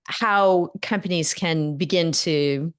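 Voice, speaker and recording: A middle-aged woman from Northern California leads an interview with a somewhat low voice. She wanders through long questions, restarting and filling pauses with ums. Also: clear, resonant tone. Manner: rambling, many fillers and restarts. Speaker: podcast host. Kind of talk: interview conversation.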